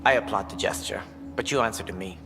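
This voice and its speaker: An actor playing an officious, brisk director with a precise, authoritative manner in a sci-fi video game. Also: male voice, lowish voice, gravelly, a bit bright. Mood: dismissive. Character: officious, brisk male director of a space initiative. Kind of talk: dramatic video game cutscene acting. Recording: long hall reverb, faint music behind.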